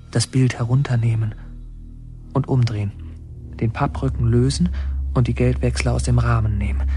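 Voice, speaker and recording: Man acting as haunted narrator in a radio play, mellow dark voice, mid pitch, confiding and intense. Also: lightly acted. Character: reflective male narrator haunted by his secret picture hoard. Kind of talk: literary first-person radio drama narration.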